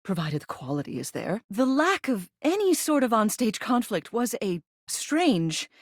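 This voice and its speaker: A woman's voice: fairly high pitch, very animated, unhurried, no room sound, studio quality. A woman voicing a video game character, a living ceiling who is a haughty yet fond arts critic, speaking in an arch, theatrical manner. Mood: dry, haughty. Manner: theatrical, arch, drawling emphasis.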